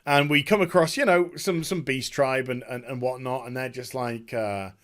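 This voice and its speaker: A man talking casually and enthusiastically as a gaming video creator, recapping a story in an emphatic, halting, rambling way. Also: mid-range voice, fairly bright tone.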